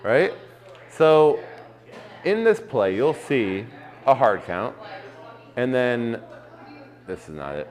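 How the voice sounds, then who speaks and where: A man's voice: medium pitch, sing-song, slow, pauses often, a little mellow. Man, 40s, podcast co-host; laid-back and joking, walks through football plays in detail. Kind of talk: casual sports chat.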